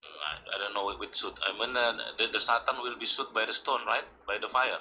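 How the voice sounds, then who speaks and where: A man calling into a debate, answering haltingly with many pauses and fillers. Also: on the low side, expressive, sharp tone, highs cut off. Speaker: caller in a debate. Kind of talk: hesitant live debate call.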